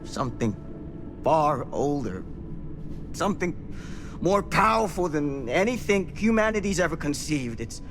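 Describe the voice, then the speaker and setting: An actor playing a blunt, urgent, haunted old former small-town sheriff in a cinematic horror game. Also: male voice, a little high, very animated, a little slow, pauses often, background noise. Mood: ominous. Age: older.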